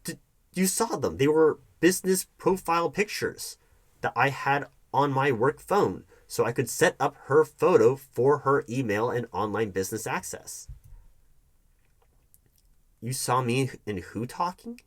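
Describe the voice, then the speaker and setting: A man playing a defensive, evasive hiring manager arguing with his ex in a one-sided audio roleplay, halting and repeating his words. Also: medium pitch, expressive. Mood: insistent, defensive. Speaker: roleplay performer.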